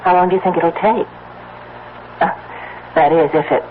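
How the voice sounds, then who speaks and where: An actress playing an anxious, fretful farmer's wife in a 1970s radio drama. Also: female voice, fairly low pitch, fairly even intonation, normal speed, lightly acted. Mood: hesitant, worried. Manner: hesitant, fretful. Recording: very noisy, tinny old radio recording.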